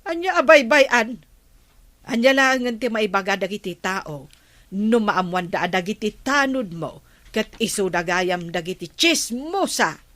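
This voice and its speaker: A woman's voice: medium pitch, lively intonation, a little slow, fairly bright tone. A woman acting a nosy, exasperated village captain's wife in an Ilocano comedy drama, with an Ilocano accent and a scolding, questioning manner.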